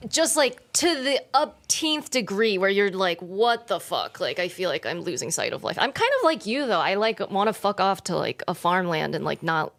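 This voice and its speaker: A woman's voice: medium pitch, very animated, normal speed, gravelly, few pauses, fairly bright tone. A woman from Michigan co-hosting a podcast, chatting casually with many "like" fillers, swearing freely and dropping Korean phrases into her English. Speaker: podcast co-host. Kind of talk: casual chat.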